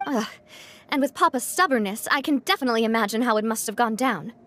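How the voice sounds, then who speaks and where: An actress playing a young gang leader in a video game, candid and composed, with a measured, gentle way of talking. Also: female voice, fairly high pitch, slight background noise, close to an everyday voice. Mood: candid, poised. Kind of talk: video game character dialogue.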